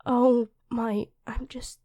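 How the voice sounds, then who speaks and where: Feisty demon queen, acted by a woman: higher female voice, theatrical, commanding yet doting, for ASMR roleplay. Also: flat delivery, takes it slowly, warm tone. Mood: tender, apologetic. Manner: theatrical, commanding, switching to tender. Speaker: voice actor in an audio roleplay. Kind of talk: dramatic ASMR roleplay acting.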